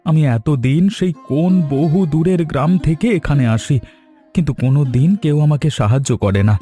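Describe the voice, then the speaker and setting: A man's voice: on the high side, brisk, mellow, faint music behind, close to an everyday voice. A man playing a humble, hardworking village woodcutter in a cartoon, plaintive and earnest. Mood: grateful, earnest. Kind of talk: Bengali cartoon story acting.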